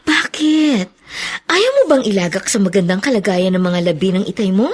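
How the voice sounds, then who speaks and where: A woman's voice: medium pitch, animated, normal speed, slightly rough. An actress playing a practical young woman in a radio drama who is easily alarmed. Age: young adult.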